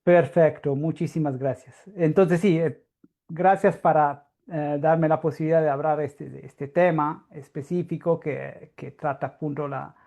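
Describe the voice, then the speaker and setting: A man with an Italian accent giving an academic conference talk in a halting, explanatory way with frequent restarts; Italian is his first language. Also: on the high side, fairly even intonation, creaky, warm tone. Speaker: guest lecturer, astrophysicist.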